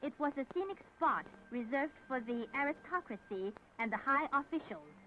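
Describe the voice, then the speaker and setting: A woman's voice: high voice, animated, normal speed, faint music behind. A woman reads formal, declamatory scripted narration for a 1970s propaganda documentary. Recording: tinny, band-limited, noisy 1970s film soundtrack.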